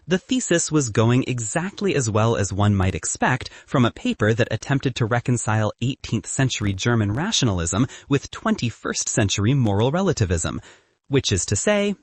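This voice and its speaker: A man's voice: medium pitch, breathy edge, no echo. A man reading scripted fiction as an audiobook narrator.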